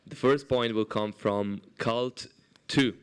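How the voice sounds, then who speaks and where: Clear-voiced man chairing a debate. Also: medium pitch. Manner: brisk, procedural, prone to restarts.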